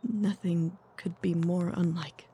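Soft, husky young gentlewoman, acted by a woman. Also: lowish voice, steady tone, takes it slowly, heavily acted. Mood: grateful, reserved. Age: young adult. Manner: courteous, formal, earnest. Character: polite, reserved young gentlewoman seeking help. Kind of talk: audio drama acting.

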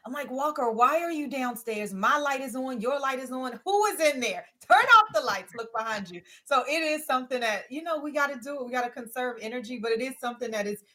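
A woman co-hosting a talk show, lively and emphatic as she tells a story. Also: sits high, brisk, hardly any pauses. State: mother. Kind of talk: animated anecdote.

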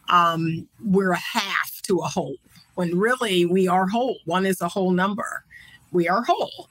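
A woman who is a counselor, giving measured and encouraging advice in a podcast conversation. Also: fairly low pitch, a bit bright, no echo, rough recording. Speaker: guest counselor.

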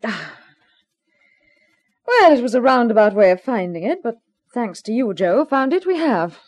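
A woman's voice: on the high side, very animated, moderate pace. An actress playing a brazen, double-crossing woman with an airy, mocking manner in a 1950s radio crime drama. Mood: smug.

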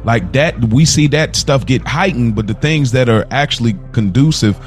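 A man's voice: mid-range voice, moderate pace, soft background music. A man co-hosting a podcast, speaking earnestly in long run-on stretches and repeating himself as he builds a point. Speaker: podcast co-host. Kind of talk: casual chat.

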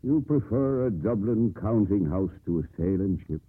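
A man's voice: fairly low pitch, lively intonation, normal speed, slightly rough. An actor playing a blustery, fond older sea captain and father in a 1950s radio drama, sputtering and emphatic. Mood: weary, pleading. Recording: muffled, narrow-band old radio broadcast.

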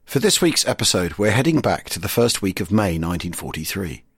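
A man presenting a history podcast, reading scripted narration in a husky voice. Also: deep voice, big pitch swings, fairly quick, no echo, studio quality.

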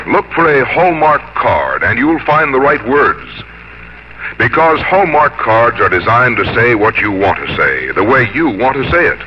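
A man's voice: medium pitch, background noise. A man announcing a 1950s radio program in a polished, emphatic, scripted style. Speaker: announcer and sponsor spokesman. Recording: muffled 1950s radio broadcast.

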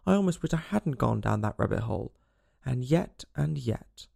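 A man's voice: mid-range voice, lively intonation, normal speed, vocal fry, dark tone. A man voicing a puzzled young girl who muses wistfully and chides herself, in a storybook reading. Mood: wistful musing. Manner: wistful, self-chiding.

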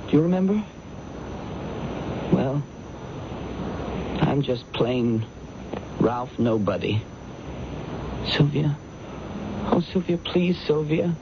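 High-voiced, stammering, pleading nobody of a man. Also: animated, slow pace, gravelly, long pauses, highs cut off, exaggerated voice. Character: insecure, pleading ordinary man, cleared suspect. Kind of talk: radio mystery drama acting. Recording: noisy band-limited old radio recording.

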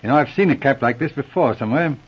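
An actor playing a shrewd, courteous police inspector in a 1940s radio drama, polite, probing and understated. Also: male voice, medium pitch, fast, husky, lightly acted. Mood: musing. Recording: muffled old radio recording.

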